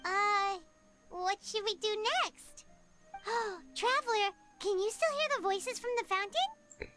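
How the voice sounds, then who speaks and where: A woman voicing a small, excitable, curious childlike fairy companion in a video game, with a squeaky voice and bubbly delivery. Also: slow. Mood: puzzled, amazed. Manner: exclamatory, bubbly. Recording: music behind the voice.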